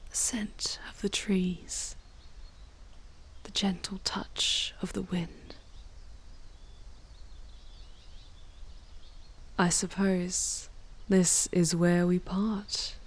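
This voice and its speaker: Casting: woman as ancient charming demoness for ASMR drama; low, unhurried, breathy, tender and wistful, slipping into whispers. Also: little pitch movement. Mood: wistful, hushed. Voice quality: breathy, often dropping into whispers. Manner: slow, deliberate, formal, intimate. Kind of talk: ASMR roleplay, scripted dramatic monologue.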